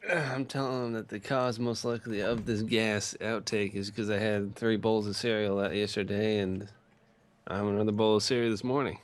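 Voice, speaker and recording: A man's voice: lowish voice, flat delivery, normal speed. A young man telling stories casually as a podcast co-host, rambling and swearing crudely for comedy. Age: young adult.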